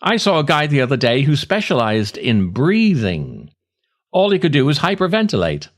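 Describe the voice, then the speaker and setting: A man's voice: fairly high pitch, huge pitch swings, normal speed. A man delivering a theatrical comic scripted monologue as a podcast host, wry and dramatic. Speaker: podcast host and writer, actor.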